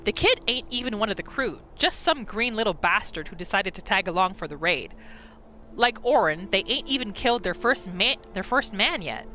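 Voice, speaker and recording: A woman voicing a rough, callous bandit who tells a grudging story in slangy, menacing words, in a game roleplay. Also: medium pitch, expressive, normal speed, bright, forceful tone. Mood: gruff, scornful. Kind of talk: in-character reading of a game journal.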